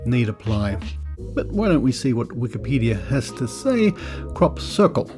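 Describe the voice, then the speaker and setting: A man's voice: medium pitch, very animated, normal speed, music in the background. An Australian man hosting a podcast, talking casually and reading aloud in a wry, skeptical manner. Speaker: podcast host.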